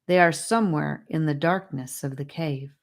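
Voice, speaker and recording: A woman voicing a grave, serious young male guide in a fantasy audiobook, solemn and measured. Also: sits low, vocal fry.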